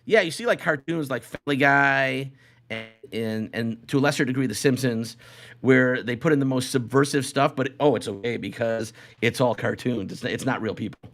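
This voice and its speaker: A man's voice: mid-range voice, moderate pace, a bit bright. A man hosting a casual live podcast, talking in a rambling way and often repeating phrases as he goes. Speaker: podcast host.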